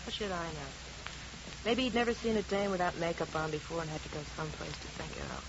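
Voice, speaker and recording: Blunt, world-weary dame, noisy recording. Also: female voice, lowish voice, fast. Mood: weary defensive. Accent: American. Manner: brusque, deadpan, slangy. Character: blunt, world-weary, slovenly young wife, tough dame. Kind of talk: 1950s radio detective drama acting. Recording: noisy, muffled old radio recording.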